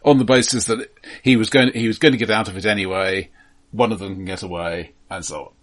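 A man co-hosting a film podcast in casual discussion, speaking haltingly with repeated words and dry, wry humour. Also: lowish voice, steady tone.

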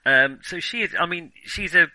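A man co-hosting a casual film discussion, speaking in a hesitant, halting way with thoughts that trail off. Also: on the high side, noisy background. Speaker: film podcast co-host.

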